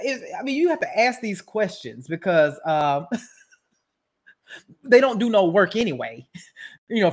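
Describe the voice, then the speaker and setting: A woman giving casual, profane roast commentary as a show host, brash and mocking, rambling and repeating her phrases. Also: fairly low pitch.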